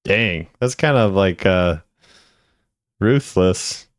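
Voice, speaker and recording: A man's voice: low voice, lively intonation, drawn-out words, dry recording, clean studio sound. A man giving casual, laid-back reaction commentary on game voice lines in a musing way.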